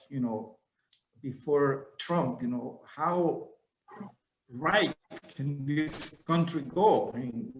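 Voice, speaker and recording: Man guest speaker from El Salvador, slow, halting, roomy echo. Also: a little high. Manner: halting, many fillers. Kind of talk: informal talk to an audience. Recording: echoey room.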